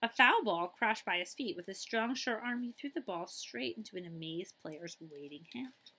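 A middle-aged woman reading a children's picture book aloud, with dramatic emphasis and pauses. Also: mid-range voice, animated, bright, forceful tone.

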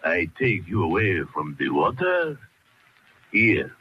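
An actor playing a crazed, eerily courteous old French hermit with a raspy voice, in old-time radio horror style. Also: male voice, low-pitched, sing-song, takes it slowly, full character voice. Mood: eerily calm. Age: older. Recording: dull, lo-fi old radio recording.

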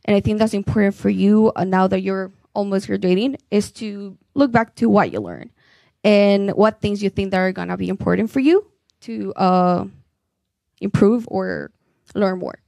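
A woman's voice: mid-range voice, vocal fry, darkish tone, no room sound. A young woman in her twenties from Ecuador, giving earnest, encouraging advice to students into a handheld mic in a conference room. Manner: earnest, encouraging, many fillers. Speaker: graduate speaker.

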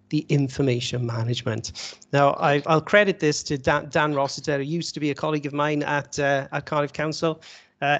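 A man's voice: fairly high pitch, vocal fry. A man lecturing at an online webinar, explaining conversationally with rhetorical questions over a video call. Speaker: university lecturer presenting at a webinar. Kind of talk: informal slide-led lecture. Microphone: video call microphone.

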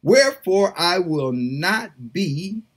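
A woman prays aloud and reads scripture with fervent, pleading feeling. Her voice is husky and breathy, and she drags out her words very slowly. Her pitch swoops between low murmurs and high cries. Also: big pitch swings. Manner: fervent, pleading, slow and drawn out. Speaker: preacher. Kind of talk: impassioned prayer and scripture reading.

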